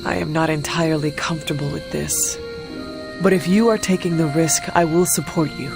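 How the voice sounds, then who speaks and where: Steadfast female hunter, composed, formal, sincere; video game drama, soft music underneath. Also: sits low, a little fast, creaky. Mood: calm, supportive. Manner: calm, earnest, formal. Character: steadfast, loyal demon-hunting warrior. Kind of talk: video game cutscene acting.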